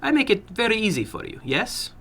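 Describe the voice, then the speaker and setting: Man voicing a high, soft, sly little foreign gambler. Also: sing-song, exaggerated voice. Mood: smoothly persuasive. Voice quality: soft, toneless. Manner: slow, courteous, coaxing, broken English. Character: funny little foreign gambler, soft-spoken, slyly menacing.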